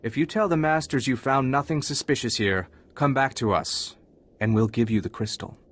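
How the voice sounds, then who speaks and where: A man's voice: mid-range voice, moderate pace, fairly bright tone, close to an everyday voice. Man acting an ardent young Jedi student. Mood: earnest pleading. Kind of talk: scripted video game acting.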